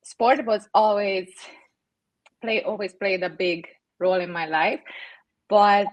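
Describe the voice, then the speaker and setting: Young woman w hungarian accent, animated storyteller, dry sound. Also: medium pitch, slow pace, dry recording, low-quality recording. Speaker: podcast guest. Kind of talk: interview storytelling.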